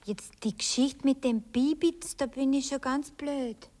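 Simple young sweetheart, highish voice, Viennese dialect, artless, hesitant, radio drama. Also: female voice, a little slow, a little mellow. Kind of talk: radio play acting in dialect.

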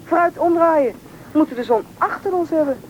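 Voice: high-pitched, a little fast, dull audio, lightly acted. A performer playing an injured, stubborn boy lost in the wilderness, bossy and urgent, in a radio play. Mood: irritated. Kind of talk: Dutch radio play acting. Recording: radio play recording, faint noise.

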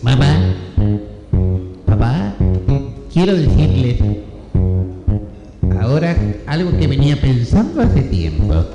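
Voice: medium pitch, sing-song, slow pace, pauses often. A performer playing an earnest, slightly odd young woman psychologist, hesitant, in improvised comic radio theatre. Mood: sweet, earnest. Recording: echoey room with loud music.

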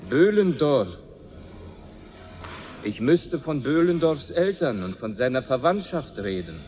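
Stern, formal village preacher, measured. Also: male voice, a little high, darkish tone. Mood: solemn. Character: stern pompous village pastor, formal and admonishing. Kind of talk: 1980s German radio drama acting.

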